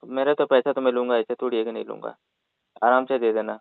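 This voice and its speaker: A man's voice: medium pitch, flat delivery, fast, gravelly, clean tone, dry recording. A man speaking hesitantly and placatingly in a phone conversation, over a narrow-band call recording.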